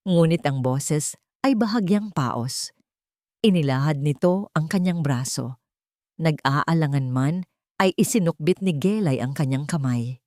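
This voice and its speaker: A woman's voice: sits low, expressive, a little slow, darkish tone, no echo, studio quality. A woman with a Filipino accent reading a scripted romantic story as narrator.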